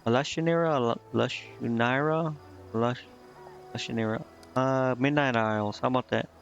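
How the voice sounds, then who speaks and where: A man's voice: mid-range voice, moderate pace. A man streaming a game, commenting casually and reading dialogue aloud in a hesitant way, stumbling over words. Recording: slightly muffled, music behind. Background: game music.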